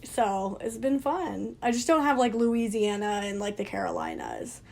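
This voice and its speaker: A woman's voice: mid-range voice, very animated, moderate pace, gravelly, a little mellow. A young woman with a scratchy voice, co-hosting a casual podcast and laughing often. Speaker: podcast co-host. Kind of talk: casual chat. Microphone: leaning close to a phone.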